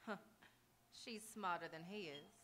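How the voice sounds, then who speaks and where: A woman's voice: fairly low pitch, measured pace, fairly bright tone, a little hiss or hum. An actress playing a shrewd, chatty townswoman in a live radio Western, with a knowing, pointed manner. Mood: observant, concerned. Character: shrewd, sociable frontier townswoman, the marshal's confidante. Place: auditorium stage, live radio theatre performance.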